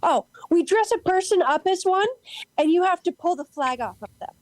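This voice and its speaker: A woman playing an eccentric, cheerful character in an amateur audio play, reading with halting lists and emphatic bursts. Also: very high-pitched, expressive, dry recording. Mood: enthusiastic. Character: eccentric, cheerful woman proud of odd sandwiches and games.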